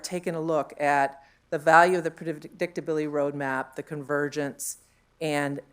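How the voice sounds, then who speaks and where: A woman with a deep, low voice talks to a conference room through a handheld mic. She is chatty and loose, with frequent fillers and questions tagged onto her points. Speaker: conference panel speaker. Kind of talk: conference panel talk.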